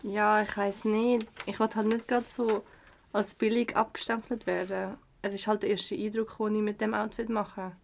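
A woman's voice: mid-range voice, level tone, moderate pace, creaky. A woman with a Swiss German accent playing a self-conscious teenage girl in a youth radio play. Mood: nervous. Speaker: actor in an audio drama.

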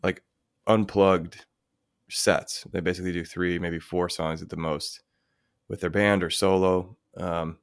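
A man co-hosting a podcast, telling stories in a relaxed, casual chat and laughing easily, on his own microphone in a remote recording. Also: low-pitched, a little slow, smooth, no room sound, clean studio sound. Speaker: podcast co-host.

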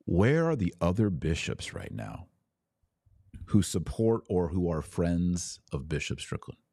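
A man with a low-pitched voice hosts a live show in a conversational, direct style. He urges his listeners along as he moves through comments and commentary. The sound is dry, with very little room in it. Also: sing-song, measured pace, creaky, dark tone, husky, dry recording. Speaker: podcast host. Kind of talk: casual live commentary, reading and answering viewer comments.

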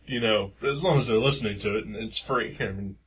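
A man's voice: on the low side, fairly quick, a bit bright, slightly rough, poor audio. A young man co-hosting a podcast in casual chat, rambling with lots of fillers. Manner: rambling, hesitant, many fillers. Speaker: podcast co-host.

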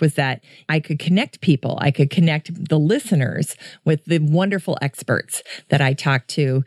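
Low-voiced woman podcast host. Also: darkish tone, no echo, clean studio sound. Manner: chatty, friendly listener address. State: voice deeper after a coughing fit. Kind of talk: casual solo podcast talk.

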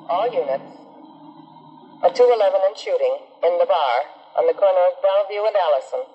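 Vintage American police dispatcher: high male voice, impersonal announcing, reverberant radio effect. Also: lively intonation, a little fast, breathy edge, highs cut off. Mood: urgent, impersonal. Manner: formulaic, repetitive, impersonal announcing. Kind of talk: 1950s police radio calls.

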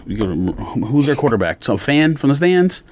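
A man's voice: mid-range voice, sing-song, moderate pace, a little mellow, a little hiss or hum. A man co-hosting a sports podcast, talking casually and arguing his points in an emphatic, insistent way.

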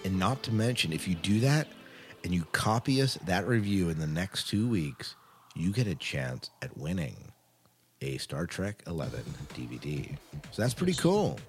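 A very low-pitched man hosts a casual podcast. He talks informally and wordily, explaining as he goes. Music plays clearly behind his voice. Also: very animated, normal speed. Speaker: podcast host. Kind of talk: casual chatty podcast hosting. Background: loud music bed.

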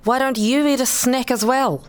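A woman's voice: high-pitched, moderate pace, lightly acted. A woman playing a blunt, irritable wife and mother in a radio play. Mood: matter-of-fact. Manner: curt, blunt.

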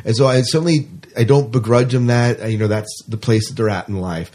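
A man with a mid-pitched voice co-hosts a podcast in a casual, conversational way. He rambles from thought to thought, often doubling back to repeat a word or phrase. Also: a little fast, darkish tone. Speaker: podcast co-host.

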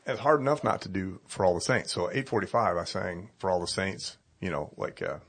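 A middle-aged man, a pastor, chatting casually in a hoarse voice and telling stories in an animated way. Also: deep voice, brisk. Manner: chatty, animated storytelling with laughs. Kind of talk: casual chat.

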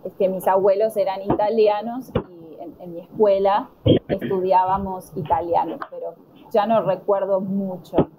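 An Argentine woman answering conversation questions slowly and deliberately, pausing with fillers. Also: medium pitch, fairly even intonation, muffled recording, a crowd in the background. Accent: Argentine Spanish. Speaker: interviewee. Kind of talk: slow, careful conversation answers.

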